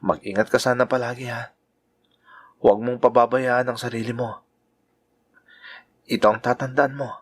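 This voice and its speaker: A man's voice: mid-range voice, fairly even intonation, normal speed, pauses often, husky, lightly acted. A man with a Filipino accent acting an earnest, self-sacrificing young lover and devoted brother in a sentimental Tagalog radio drama. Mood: sad, resigned. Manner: earnest, sentimental delivery, mixing in English phrases.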